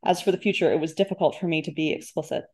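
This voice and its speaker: Low, mellow-voiced woman reading fiction. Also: racing. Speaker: debut author, guest. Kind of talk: literary reading of first-person fiction.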